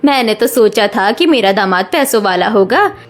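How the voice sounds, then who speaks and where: A woman's voice: medium pitch, animated, normal speed, close to an everyday voice. A woman voicing a harsh middle-aged mother-in-law who scolds and mocks, in a cartoon story. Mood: scornful. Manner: scolding, taunting. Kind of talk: Hindi cartoon story dialogue.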